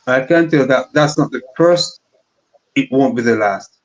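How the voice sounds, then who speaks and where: A man's voice: mid-range voice, unhurried, dull audio. A man on a discussion panel, speaking emphatically from his work experience and agreeing often. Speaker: panel guest.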